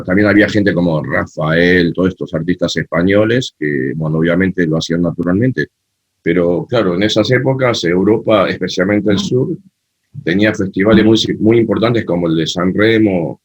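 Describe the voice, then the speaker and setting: A man with an Argentine accent, a guest telling animated, opinionated stories in a casual interview chat. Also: sits low, moderate pace, breathy edge, no room sound, dull audio. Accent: Argentine Spanish. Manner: animated storyteller, frank and opinionated. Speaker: guest, cumbia festival creator.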